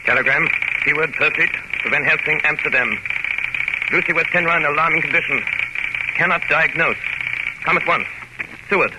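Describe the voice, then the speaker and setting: An actor playing an earnest, troubled young doctor, formal and crisp, in 1930s radio drama style. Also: male voice, on the high side, moderate pace, long pauses, close to an everyday voice. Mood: urgent. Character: earnest, troubled young male doctor narrating his account. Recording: noisy old radio recording.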